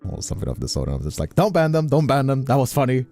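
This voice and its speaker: A man's voice: medium pitch, very animated, quick pace, mellow, soft background music. A man from Brazil chatting casually and informally as a streamer on a livestream, repeating himself for emphasis. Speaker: streamer and voice actor.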